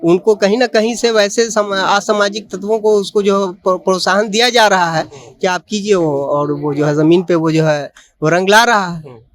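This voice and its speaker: A man's voice: high-pitched, moderate pace. A man giving his opinion in an impromptu interview, emphatic and repetitive, leaning on a filler phrase. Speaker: member of the public being interviewed.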